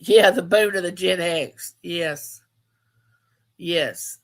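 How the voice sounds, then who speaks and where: A woman's voice: on the low side, slow pace. A woman, hosting a casual live chat and telling stories, repeating phrases for emphasis. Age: 50s. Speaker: livestream host.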